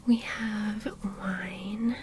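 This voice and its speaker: A woman in her twenties whispering gently as a kindly, attentive medieval innkeeper in an ASMR roleplay, in a soft breathy voice. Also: medium pitch, steady tone. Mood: gentle, welcoming. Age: 20s. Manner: gentle, unhurried, solicitous. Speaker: ASMR performer. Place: small dim candlelit indoor set. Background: music.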